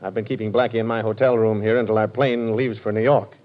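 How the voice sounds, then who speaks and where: A man playing a brisk, skeptical police inspector on 1940s radio. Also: lowish voice, level tone, lightly acted. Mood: coaxing. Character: dogged middle-aged male police inspector. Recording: muffled old radio broadcast with faint hiss.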